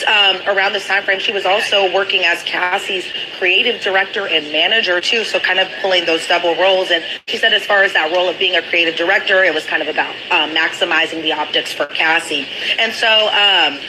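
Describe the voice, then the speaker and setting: A woman reporter with a fairly low, slightly hoarse voice explains court testimony from her notes. She talks conversationally and walks through it point by point. Heavy noise sits behind her voice. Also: a little fast. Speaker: trial reporter. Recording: loud background noise throughout.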